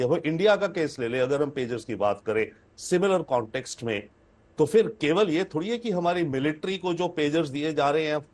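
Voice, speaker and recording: Older Indian-accented man, slightly high voice. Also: a little mellow. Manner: mixes English phrases into Hindi, emphatic repetition. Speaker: foreign affairs expert guest. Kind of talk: analytical panel commentary. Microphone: video call.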